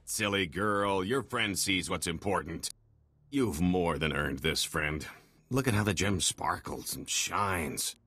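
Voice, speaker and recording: Deep, husky male con artist. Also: takes it slowly, pushed and bright, heavily acted. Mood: smooth, bargaining. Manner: theatrical, wheedling salesman patter. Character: slippery middle-aged male con-artist fugitive, boastful wheeler-dealer. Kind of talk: video game voice acting.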